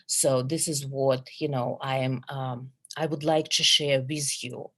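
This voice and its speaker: A middle-aged woman from Russia with a Russian accent, a psychologist giving an earnest, measured webinar presentation with many fillers and restarts over a video call. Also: very deep voice, little pitch movement.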